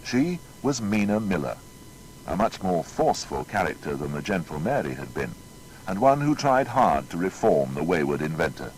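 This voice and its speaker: A man's voice: sits low, sing-song, normal speed, a little hiss or hum, poor audio. A man narrating a scripted biography with emphatic, rhetorical delivery.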